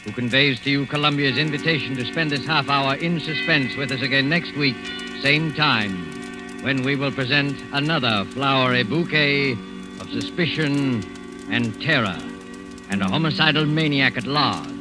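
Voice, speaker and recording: Actor as suave, sinister mystery host. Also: male voice, medium pitch, over music, natural voice. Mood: suavely ominous. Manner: measured, ominous, theatrical. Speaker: narrator and host. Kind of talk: 1940s radio announcing, scripted narration.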